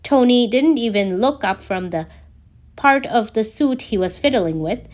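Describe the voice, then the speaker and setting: A woman reading a scripted story aloud as a narrator, in an expressive storytelling way. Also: a little high, unhurried. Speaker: podfic narrator reading fan fiction.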